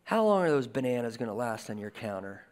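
A man's voice: mid-range voice, fast. A man in his forties preaching a sermon from a church stage, in a conversational style. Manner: conversational preaching with lively gestures. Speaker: pastor. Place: church stage with a lectern and guitars. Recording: reverberant church hall.

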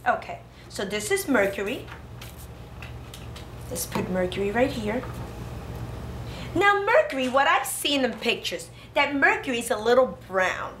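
Preschool teacher, female, high-pitched, sing-song craft instruction for little kids, roomy classroom sound with slight noise. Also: sing-song, measured pace, pauses often, fairly bright tone. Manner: sing-song, child-directed, exclamatory. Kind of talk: instructional craft lesson for young children. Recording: roomy classroom echo, faint background noise.

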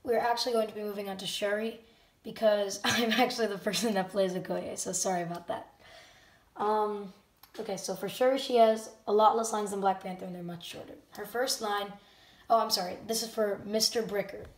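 A channel host talking casually and unscripted to viewers at home, a bit halting and often self-correcting. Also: medium pitch, fairly even intonation. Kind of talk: casual unscripted talk to camera audience. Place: indoor room at home. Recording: roomy, distant microphone.

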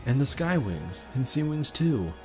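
A man's voice: medium pitch, big pitch swings, a little slow, faint music behind. Eager young male dragon, played by a man. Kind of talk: audio drama character acting.

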